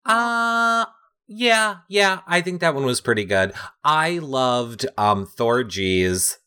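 A man hosting a casual recap show, playful and animated, with sing-song asides. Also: a little high, slow pace, smooth. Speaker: podcast host.

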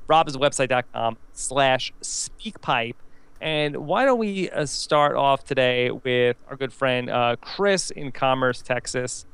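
Clear, smooth-voiced man hosting a podcast. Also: medium pitch, takes it slowly, a bit bright, no room sound. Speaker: podcast host. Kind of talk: hosting a reality TV recap show.

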